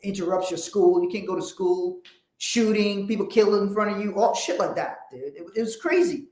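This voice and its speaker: A man's voice: high-pitched, lively intonation, moderate pace, darkish tone, roomy sound, low-quality recording. A man from Liberia, hosting a motivational livestream in a blunt, profane, emphatic way. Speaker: host.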